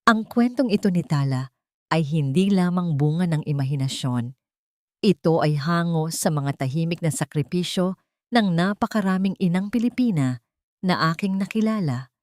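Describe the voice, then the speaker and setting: A Filipino woman tells a scripted, emotional story in a fairly low voice. Her delivery is solemn and sentimental, with deliberate phrasing. The sound is dry and close, with little room in it. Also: lively intonation, a little mellow, dry recording. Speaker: storyteller, narrator. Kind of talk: scripted dramatic audio story narration.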